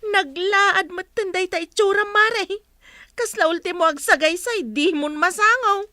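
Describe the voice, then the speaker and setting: A high, drawling catty village woman. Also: unhurried, pushed and bright, dry recording, clean studio sound, exaggerated voice. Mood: mocking. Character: mocking, vain village woman, catty friend. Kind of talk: Philippine radio drama acting.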